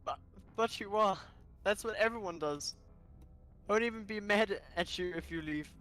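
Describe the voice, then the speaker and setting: A man's voice: sits high, moderate pace, a bit bright, pitched above the performer's own voice. A man voicing an insecure, melancholy young herbivore character in a visual novel, pleading and hesitant. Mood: anguished, self-pitying.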